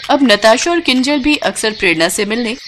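A woman reads a scripted moral story in a fairly high voice. Faint ambient noise runs behind the narration. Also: animated, fairly quick, fairly bright tone.

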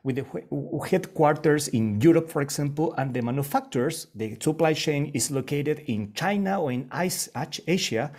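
A man's voice: a little high, a little mellow. Chilean-accented man on a video call. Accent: Chilean Spanish. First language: Spanish. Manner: earnest, halting with repeated restarts. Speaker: panelist. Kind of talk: online panel discussion.

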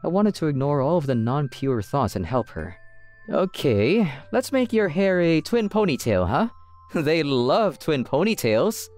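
Woman voicing a low-pitched, excitable teenage schoolboy. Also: unhurried. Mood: excited. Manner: excitable, often stammering and hesitant. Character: teenage schoolboy otaku, eager, flustered narrator of romcom. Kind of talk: manga dub acting with first-person narration. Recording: music behind the voice.

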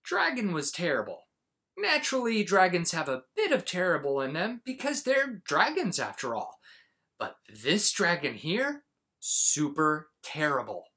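A man in his forties reading a children's storybook aloud, with dramatic, exaggerated emphasis and pauses. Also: on the high side, sing-song, sharp tone, slightly rough. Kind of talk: animated storybook read-aloud.

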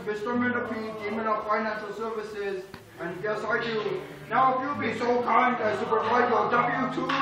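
A man voicing a smug millipede demon bureaucrat. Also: extremely high pitch. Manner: smug, officious. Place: small indoor puppet stage. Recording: echoey room from far off with noise.

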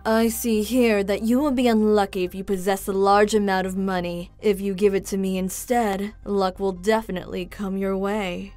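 An actress voicing a scheming, self-righteous fortune teller with theatrical indignation in a manga dub. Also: female voice, medium pitch, fairly even intonation, moderate pace, hardly any pauses, a little mellow, natural voice. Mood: slyly persuasive. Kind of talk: dramatic manga dub acting. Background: music.

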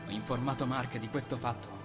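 A man voicing a calm, courteous young athlete and investigator in an Italian anime fan dub. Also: mid-range voice, fairly quick, lightly acted. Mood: serious. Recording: clear music behind voice.

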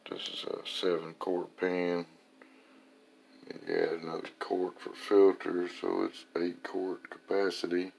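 A man with an extremely low voice that has a gravelly fry to it. He talks slowly and casually, like a hobby mechanic walking through his work in the garage. His way of speaking is folksy and plain, peppered with swear words. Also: darkish tone. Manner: profane, folksy, plain-spoken. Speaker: amateur engine builder and vlogger. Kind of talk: casual hands-on garage walkthrough. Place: indoor garage or workshop with concrete floor.

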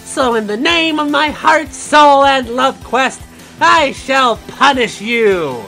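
A woman's voice: high-pitched, lively intonation, slow, sharp tone, soft background music, full character voice, low-quality recording. A woman voicing an indignant young male hedgehog hero, declaiming earnestly in an amateur comic dub. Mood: defiant. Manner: declamatory, earnest speech-making.

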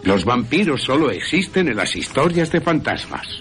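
A man's voice: medium pitch, very animated, normal speed, fairly bright tone, slight background noise, voice pushed up. A man voicing a sceptical young man, questioning and incredulous, with a Castilian Spanish accent, in radio storytelling. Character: sceptical, baffled young man, slow to grasp.

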